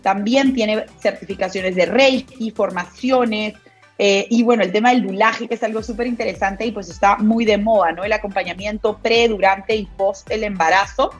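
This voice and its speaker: Woman host, average pitch, eager interviewer, faint music bed behind her. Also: fairly even intonation, normal speed. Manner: curious, enthusiastic, asks questions with many fillers. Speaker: podcast host. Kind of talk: casual interview hosting.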